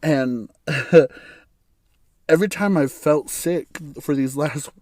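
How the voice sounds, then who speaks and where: A man from the United States hosting a solo podcast, his voice raspy and hoarse from bronchitis, rambling through his opinions with chuckles and frequent restarts. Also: on the high side, slow, a little mellow, no echo, studio quality. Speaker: podcast host. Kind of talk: casual solo podcast monologue.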